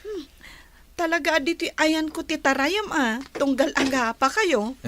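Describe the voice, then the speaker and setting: A woman acts out a young village storekeeper in a radio comedy drama, coy and agreeable. Her voice is high and bright. She takes her words slowly, with slight noise in the recording. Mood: coy, teasing.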